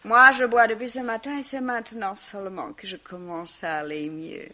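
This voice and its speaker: A woman's voice: medium pitch, steady tone, moderate pace, bright, forceful tone, highs cut off, lightly acted. An actress playing a bitter, self-pitying, drunken young woman with a slurred, theatrical delivery in a 1950s-style French radio mystery. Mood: tipsy, boisterous.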